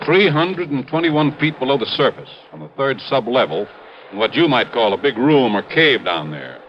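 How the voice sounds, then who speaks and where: A man voicing a middle-aged mine manager who lays out the facts plainly and earnestly. The old radio broadcast sounds roomy and dull, with a long echo and a clear hiss underneath. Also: medium pitch, animated, normal speed, lightly acted. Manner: explanatory, matter-of-fact. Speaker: mine official. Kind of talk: 1950s radio drama acting.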